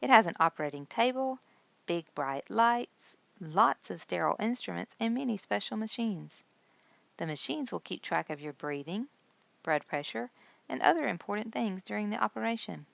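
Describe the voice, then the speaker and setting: A woman's voice: mid-range voice, expressive, normal speed, gravelly, no room sound, muffled recording, voice pushed up. Woman reading as a kindly, lilting nurse guide. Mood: reassuring. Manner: patient, explanatory, reassuring. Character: kindly adult woman nurse guiding children.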